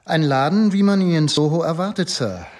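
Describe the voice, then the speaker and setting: Actor playing a formal, self-assured police inspector. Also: male voice, on the high side, darkish tone, lightly acted. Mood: dutiful, guarded. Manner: formal, measured, self-justifying. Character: deferential but self-assured police inspector guarding his informant. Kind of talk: German radio drama dialogue.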